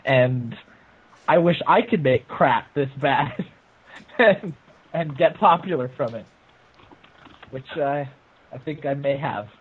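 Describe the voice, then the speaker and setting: A man's voice: medium pitch, expressive, slow pace, pauses often, breathy edge, phone-quality sound. A man giving his opinion as a guest in a casual group film review, heard over a thin internet call.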